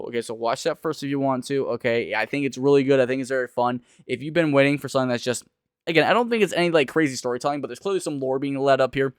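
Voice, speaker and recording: A man's voice: medium pitch, clear, resonant tone, dry recording, clean studio sound. A young man giving casual reaction commentary as a video reviewer, talking in a rambling, informal and emphatic way.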